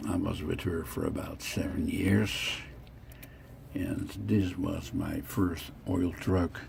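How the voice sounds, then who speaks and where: A man in his sixties speaks English with a Dutch accent in a very low, slow voice. He narrates old photos of his own life in halting, clipped phrases. There is faint noise behind him. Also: gravelly, a little mellow. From the Netherlands. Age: 60s. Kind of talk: personal narration over photo slideshow.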